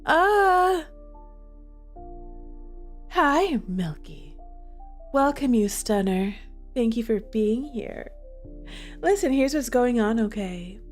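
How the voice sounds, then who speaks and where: A woman's voice: a little high, expressive, takes it slowly, long pauses, soft background music. A woman streaming a visual novel, chatting to viewers and reading the game text aloud with dramatic feeling.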